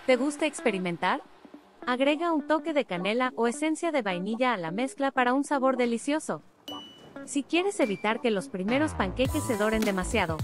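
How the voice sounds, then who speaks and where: A woman reading a scripted recipe voice-over in a smooth, upbeat, evenly paced way. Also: a little high. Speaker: recipe narrator. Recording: clear studio voice-over over music.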